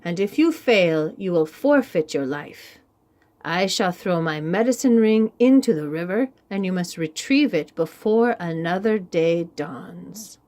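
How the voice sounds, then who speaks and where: Commanding male village ruler for a children's tale , female reader acting; grave, deliberate, expressive pitch. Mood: stern challenge. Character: stern village chief, commanding man setting hard tasks.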